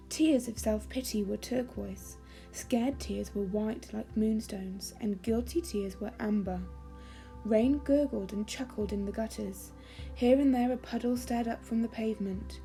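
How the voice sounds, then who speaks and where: Woman reading a kids bedtime story, soft-edged mellow tone, a bit high, measured and expressive. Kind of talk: calming children's story reading.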